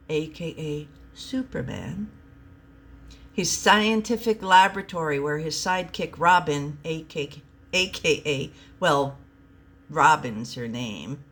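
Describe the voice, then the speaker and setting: Unhurried woman storyteller. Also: sits low. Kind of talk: narrating a comic short story aloud.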